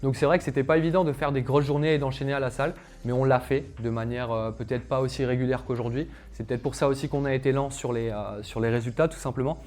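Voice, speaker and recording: A man's voice: mid-range voice, steady tone, fast, few pauses, a little mellow, a little hiss or hum. A young man, a calisthenics coach, telling his personal story to camera in a casual, chatty way, with lots of fillers and asides to viewers. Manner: chatty, filler-heavy, addresses viewers directly.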